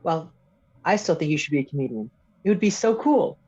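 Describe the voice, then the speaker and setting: A woman playing an excitable, cheeky kid egging on a parent, in a comedy table read. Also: low voice, fairly quick, slightly rough. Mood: encouraging. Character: enthusiastic, cheeky kid of a single mom. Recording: dull lo-fi video call.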